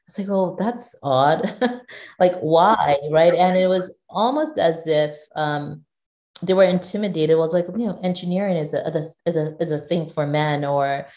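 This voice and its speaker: Low, mellow-voiced woman from Nigeria. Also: reverberant. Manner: thoughtful, with frequent restarts and fillers. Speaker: guest, digital executive. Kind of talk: conversational interview.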